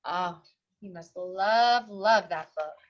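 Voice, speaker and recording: A woman's voice: medium pitch, takes it slowly, muffled recording, a little hiss or hum. A woman teacher reading a picture book aloud to young children, expressively, with simple explanatory asides.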